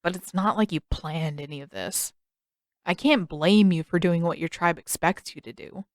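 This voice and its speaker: A woman voicing an awkward, earnest teenage boy in an audiobook, talking in a casual, halting, blunt way. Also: fairly low pitch, no room sound, clean studio sound, lightly acted. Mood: sheepish, sincere. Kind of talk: audiobook character dialogue.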